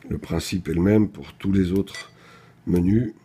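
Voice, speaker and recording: A man's voice: deep voice, measured pace, warm tone, slight background noise. A man presenting a product in an unscripted technical demonstration, explaining things methodically, step by step.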